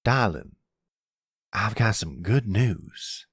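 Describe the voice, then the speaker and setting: A man's voice: lowish voice, animated, takes it slowly, fairly bright tone, husky, dry recording, studio quality, heavily acted. A man playing a middle-aged mafia boss in a roleplay audio drama, commanding and clipped yet affectionate. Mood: firm, expectant.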